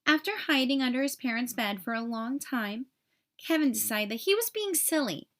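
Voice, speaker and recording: High-voiced woman reading a children's story. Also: lively intonation, moderate pace. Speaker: storybook reader. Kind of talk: scripted children's story narration.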